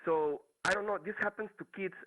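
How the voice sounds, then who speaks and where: A man's voice: high voice, a little fast, narrow, tinny audio, noisy background. A man from Mexico with a Mexican Spanish accent, calling in to a talk show and searching for words with many restarts. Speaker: caller. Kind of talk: casual call-in chat.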